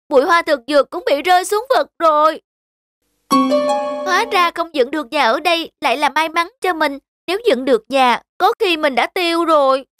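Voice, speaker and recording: A woman voicing a cute, excitable young rabbit in a children's cartoon, exclaiming theatrically. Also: extremely high pitch.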